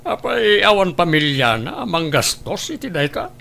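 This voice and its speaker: Guy playing grumbly stingy ilocano uncle, creaky highish voice, blunt and nagging. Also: big pitch swings, moderate pace, sharp tone, exaggerated voice. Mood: scolding, disapproving. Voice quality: gravelly. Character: scolding, cynical, stingy older uncle. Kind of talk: Ilocano audio drama acting.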